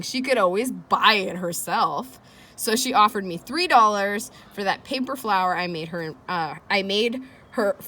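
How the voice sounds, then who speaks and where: Woman reading a children's book aloud, lively intonation. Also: mid-range voice, a little hiss or hum. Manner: engaging young listeners with questions and commentary. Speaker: read-aloud host. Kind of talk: reading a children's chapter book aloud with chatty asides to listeners.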